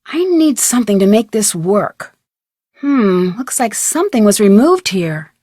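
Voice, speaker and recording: A woman's voice: mid-range voice, very animated, measured pace, mellow, no room sound, clean studio sound. A woman playing an inquisitive, polite teenage girl detective in an adventure game, with earnest scripted delivery. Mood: matter-of-fact.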